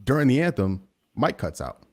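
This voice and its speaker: A man's voice: medium pitch, very animated, moderate pace. A man co-hosting a sports podcast, chiming in with casual conversational remarks. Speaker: podcast co-host.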